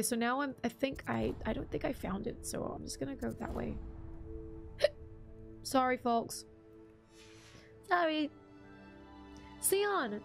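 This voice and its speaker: A chatty young woman streams a game live, voice pitched a little high with a gravelly fry running through it. She rambles casually and greets viewers by name, and soft game music plays underneath. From half English, half Bruneian. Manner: chatty, reads chat aloud, frequent ums. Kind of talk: casual live-stream chat while gaming.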